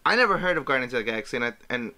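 A man co-hosting a podcast in casual chat, informal and halting as he repeats himself to make a point. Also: medium pitch, lively intonation, bright, forceful tone. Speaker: podcast co-host.

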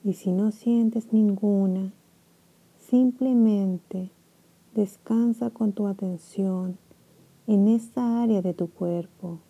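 A woman leads a body-scan meditation for sleep. Her voice is deeply mellow and warm, and she moves very slowly, letting phrases hang with long gentle pauses. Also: breathy edge, lo-fi sound. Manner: slow, soft, soothing with long pauses. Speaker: meditation guide.

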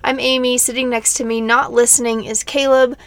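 A woman's voice: a little high, flat delivery, brisk. A young adult woman co-hosting a podcast, talking in a casual, unscripted, conversational way. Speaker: podcast co-host.

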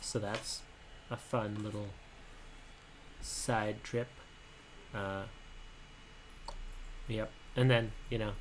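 A man in his twenties with a fairly high, boyish voice, co-hosting a casual podcast and talking candidly in a halting, soft-spoken way. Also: slight background noise, rough recording. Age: 20s. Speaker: podcast co-host.

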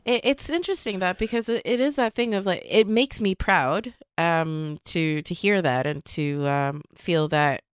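Low clear-voiced Swedish-born woman. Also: dry recording, studio quality. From Sweden. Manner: thoughtful, halting, many fillers and restarts. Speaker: comedian guest. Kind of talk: casual podcast interview.

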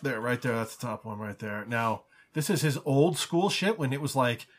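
A man's voice: mid-range voice, moderate pace. A man co-hosting a show, chatting casually and off the cuff. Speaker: co-host. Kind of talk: casual chat.

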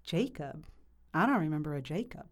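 A woman's voice: sits low, expressive, normal speed. A woman playing an eager, friendly book club member in a comedic audio drama, enthusiastic in delivery.

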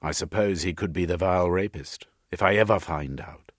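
Man acting an earnest, old-fashioned British gentleman, husky. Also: low voice, normal speed, no room sound, studio quality. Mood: remorseful. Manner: proper, old-fashioned upper-class phrasing. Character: earnest, decent British gentleman, remorseful and indignant. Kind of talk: audiobook character voice.

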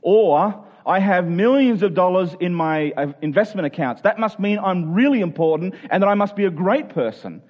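Higher-voiced male preacher, slightly dull recording. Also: expressive, moderate pace, few pauses. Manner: earnest, rhetorical questions, restating phrases for emphasis. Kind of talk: sermon, explaining a Bible passage in plain conversational words.

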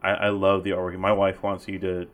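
A man's voice: low voice, completely flat delivery, fairly quick. A man hosting a podcast in casual conversation, enthusiastic and full of praise, laughing often. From Spanish heritage. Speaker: podcast host. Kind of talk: casual interview chat.

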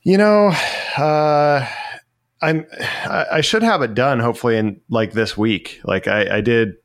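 A man's voice: medium pitch, a little slow, no room sound, studio quality. A man from Florida chatting casually on a podcast, rambling and correcting himself as he goes. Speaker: podcast co-host, metalworker. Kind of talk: casual chat.

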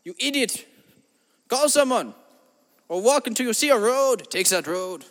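A man doing a high-voiced German-accented guru. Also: expressive, measured pace, big-room sound. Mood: grandiose rambling. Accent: put-on German accent. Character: eccentric German-accented man, grandiose self-styled spiritual guru. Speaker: comedy podcast host. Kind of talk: improvised comedy monologue in character.

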